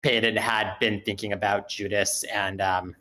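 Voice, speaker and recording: A man's voice: lowish voice, level tone, moderate pace, pushed and bright. A man giving spoken script feedback in a group discussion, speaking hesitantly and circling back to rephrase his points.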